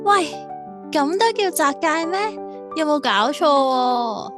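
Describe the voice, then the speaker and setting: Gentle young sweetheart, played by a woman, Hong Kong Cantonese, girlish highish voice, tender radio-drama delivery over prominent music. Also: expressive, slow. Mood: playful impatience. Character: sweet, gentle young woman, quietly self-doubting in love. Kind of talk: audio drama acting.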